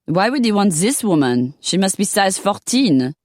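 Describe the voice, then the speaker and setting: A woman's voice: medium pitch, sing-song, normal speed, a little mellow, dry recording, clean studio sound, voice pushed up, lightly acted. A woman voicing a vain, jealous Frenchwoman from Paris with a French accent and dramatic, haughty delivery.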